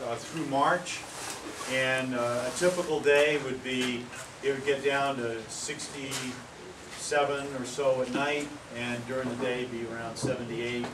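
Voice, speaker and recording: A man from Maine giving a casual talk outdoors, with many fillers and pauses. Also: mid-range voice. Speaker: presenter. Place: outdoor pavilion among palm trees. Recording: roomy, distant, noisy outdoor sound.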